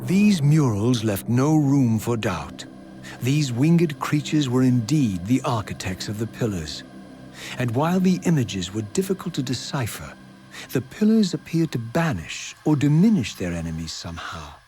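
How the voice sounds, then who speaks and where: A man's voice: medium pitch, sing-song, normal speed, warm tone, faint music behind, natural voice. Brooding, defiant undead antihero played by a man. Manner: theatrical, eloquent, sardonic. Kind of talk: dramatic video game narration and dialogue.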